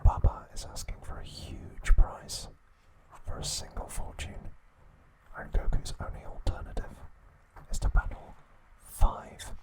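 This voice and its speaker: Man whispering slowly, ASMR style. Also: low-quality recording. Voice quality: breathy unvoiced whisper. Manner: slow, hushed, halting short phrases. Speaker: ASMR host. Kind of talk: whispered ASMR episode recap.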